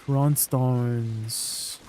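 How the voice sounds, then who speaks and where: A man with a Canadian accent chatting casually with viewers while streaming a game. He rambles in a relaxed way and trails off at the ends of his lines. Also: mid-range voice, very slow, a little hiss or hum.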